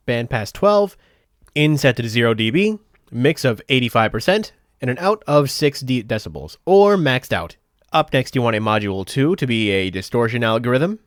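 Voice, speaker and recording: A man narrating a software tutorial step by step in a methodical, explanatory way. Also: mid-range voice, no room sound, studio quality. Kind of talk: step-by-step instructional voice-over.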